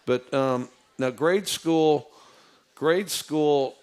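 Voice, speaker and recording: A man with a low voice, telling stories in a casual, rambling way, with many fillers and restarts. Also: very slow, darkish tone, clean tone. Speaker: football coach. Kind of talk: casual storytelling.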